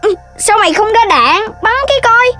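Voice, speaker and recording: Cartoon piglet boy: very high, unhurried, cheeky, food-loving and blunt, over music. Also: animated, pushed and bright, full character voice. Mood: blunt, cocky. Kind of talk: children's cartoon dubbing in Vietnamese.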